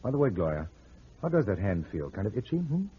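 An actor playing a shrewd, sardonic private detective in an old-time radio drama. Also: male voice, on the low side, very animated, fast, breathy edge, full character voice. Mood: taunting. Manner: sardonic, explaining, teasing. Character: wisecracking, shrewd male private detective, smooth with women. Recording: muffled vintage radio drama recording.

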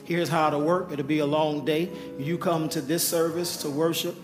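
A man who is a pastor giving an altar call and announcements to his congregation, with a hoarse voice he says he keeps losing. He talks in a coaxing, repetitive preaching manner. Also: a little high, level tone, moderate pace. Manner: urgent repeated coaxing, preacherly. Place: church sanctuary. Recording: live church sound with music and crowd. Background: music and congregation.

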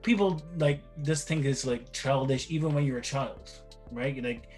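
A man's voice: medium pitch, moderate pace, a little mellow, faint music behind. A middle-aged man from Barbados, a guest father chatting casually in an interview, rambling with frequent restarts and repeated words.

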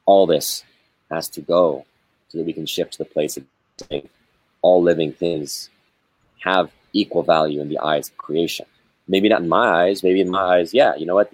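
A man talking reflectively about spirituality in a conversation, with measured, searching phrasing and many pauses. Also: low-pitched, darkish tone, slightly rough, dry recording, low-quality recording. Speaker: spiritual guest.

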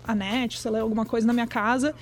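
A woman's voice: on the high side, steady tone, quick pace. A middle-aged woman with a Brazilian accent, explaining things in a chatty, conversational way and often checking for agreement.

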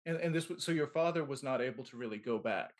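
A man's voice: mid-range voice, fast talker. A man hosting a conversational long-form interview, reflective, summing up and responding to his guest. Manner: reflective, sums up what the guest says. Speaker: interview host.

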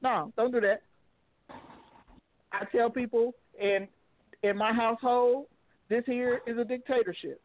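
A woman's voice: fairly high pitch, steady tone, a little slow, pauses often. A woman in her thirties, a single mother, talking bluntly and laughing often in a casual call-in chat. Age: 30s. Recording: dry tinny phone line.

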